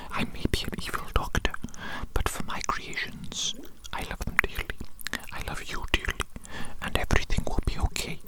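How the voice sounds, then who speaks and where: A performer whispering an ASMR roleplay as a doting, rambling evil scientist, with breathy, repetitive, grandiose and formal phrasing. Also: lightly acted, poor audio. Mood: soothing, grandiose. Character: evil mad scientist doctor, doting, rambling, existentially brooding creator.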